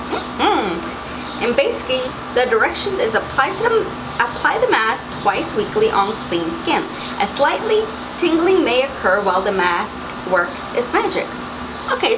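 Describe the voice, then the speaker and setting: A young woman with a slightly raspy morning voice, chatting casually through a beauty box unboxing and reading product labels aloud. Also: fairly high pitch, noisy background. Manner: chatty, reads labels aloud, frequent mm-hmms. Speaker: beauty vlogger.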